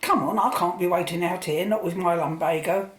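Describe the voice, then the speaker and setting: A woman's voice: lowish voice, fairly quick, roomy sound, close to an everyday voice. A British woman playing a cranky, complaining little old saleswoman in a radio play, blunt and grumbling.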